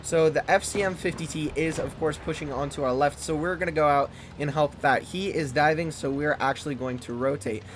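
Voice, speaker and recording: A man hosting a gaming channel, reviewing a tank game in loose, fast, chatty commentary. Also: on the high side, steady tone, a little hiss or hum.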